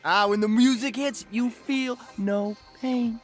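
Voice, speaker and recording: A man's voice: extremely high pitch, fairly even intonation, takes it slowly, faint music behind. An actor playing an upbeat, laid-back young male musician hero in a video game. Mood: laid-back, playful. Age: young adult. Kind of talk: video game character voice acting.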